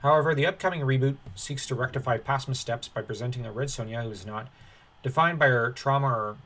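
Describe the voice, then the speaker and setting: A man's voice: medium pitch, fast, a bit bright. A man reading an article aloud as a commentator, breaking off into dismissive, sardonic, off-the-cuff asides. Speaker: online commentator.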